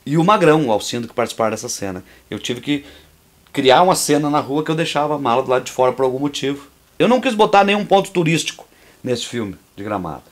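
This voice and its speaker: A man's voice: medium pitch, animated, quick pace. A man, a filmmaker and actor, giving outspoken and opinionated commentary on how his film was made, talking freely with restarts. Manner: restarts and repeats words while talking freely. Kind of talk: commentary over a making-of.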